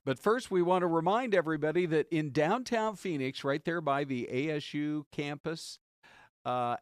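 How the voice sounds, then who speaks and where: A man's voice: medium pitch, no echo, clean studio sound. An American man co-hosting a talk show, chatting casually about news and weather in a folksy way.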